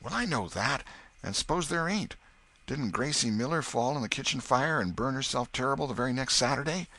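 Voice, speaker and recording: A man voicing an excitable young boy, bold yet superstitious, whispering urgently in rustic dialect. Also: medium pitch, fairly quick, no room sound, pitched above the performer's own voice.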